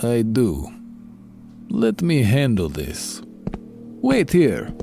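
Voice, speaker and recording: An actor playing a calm male super-soldier leader in an audio drama, speaking in a measured, placating way. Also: medium pitch, creaky, soft background music, full character voice.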